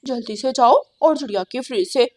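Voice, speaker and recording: A woman's voice: sits high, brisk, a little mellow, voice pushed up. A woman voicing a sly, greedy female crow in a cartoon, with a wheedling, nosy manner. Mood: greedy, scheming.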